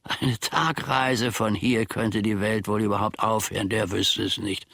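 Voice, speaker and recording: Aggrieved old servant, radio drama: slow, scornful, husky, low-set. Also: male voice, steady tone, fairly bright tone, dry recording, studio quality, full character voice. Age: older. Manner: grumbling, scornful, theatrically deliberate. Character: aggrieved, sly old manservant settling scores with his master. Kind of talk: radio play monologue.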